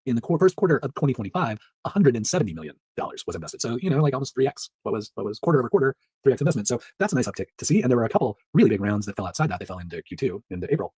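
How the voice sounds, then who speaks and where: A man hosting a solo startup news recap in a chatty, upbeat way, his voice rough, nasal and stuffed up from allergies. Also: medium pitch, very fast, few pauses, no room sound. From Pacific Northwest. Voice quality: nasal, monotone. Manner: upbeat, chatty, laughs between items.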